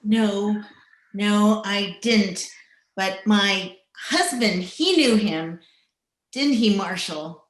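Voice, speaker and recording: Woman playing a slow, hard frontier widow, echoey. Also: medium pitch. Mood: guarded. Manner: curt, hard, deliberate. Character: bitter, vengeful widow of the Old West. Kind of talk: old-time radio western acting. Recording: echoey room over video call.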